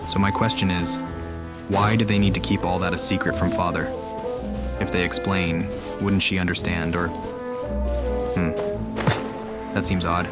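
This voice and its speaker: Dude w a low voice doing casual game commentary, thinking out loud, music playing behind. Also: male voice, level tone, a little slow, pauses often. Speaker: game streamer.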